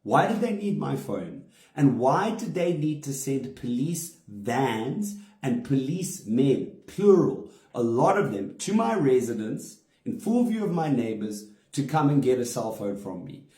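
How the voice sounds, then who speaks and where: A man's voice: medium pitch, sing-song, unhurried, hardly any pauses, mellow. A man from Africa, a comedian giving a direct-to-camera statement in an indignant, emphatic way and leaning hard on single words. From South Africa. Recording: roomy echo.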